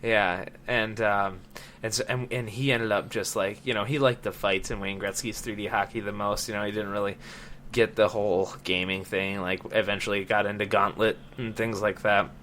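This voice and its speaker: Male podcast host, late 20s, lowish bright voice, casual conversational delivery, slight background noise. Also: level tone, normal speed. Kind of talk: casual chat about gaming.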